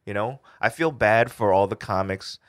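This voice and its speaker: A man's voice: on the low side, normal speed, dry recording, studio quality. A man co-hosting a podcast as a stand-up comic, chatting casually with slang and swearing. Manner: slangy, profane. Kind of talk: casual chat.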